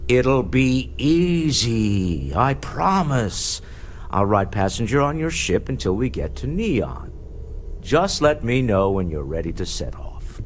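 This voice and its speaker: An actor playing a suave, shrewd businessman in a space video game, with a polished, theatrical, persuasive delivery. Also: mid-range voice. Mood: eager, reassuring. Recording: faint background ambient noise.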